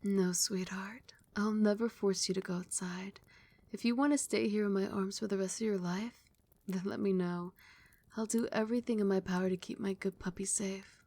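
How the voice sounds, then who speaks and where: A woman voicing a doting, possessive vampire queen in an ASMR roleplay, speaking softly and breathily, often dropping into a whisper, with tender, regal endearments. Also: medium pitch, fairly even intonation, normal speed, close to an everyday voice. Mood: soothing, protective. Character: doting, possessive vampire queen soothing her beloved pet.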